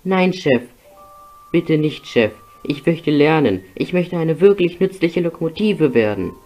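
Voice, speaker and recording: A man's voice: on the high side, expressive, unhurried, darkish tone, faint music behind, voice pushed up. A man voicing an eager, earnest young male steam engine in a cartoon dub. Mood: pleading, sorry. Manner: pleading, earnest.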